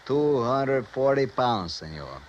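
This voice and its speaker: An actor playing a self-important, excitable police sergeant with a Mexican accent and theatrical broken English. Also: male voice, mid-range voice, takes it slowly. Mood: grave, boastful. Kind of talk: 1950s radio drama acting. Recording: dull, noisy old radio recording.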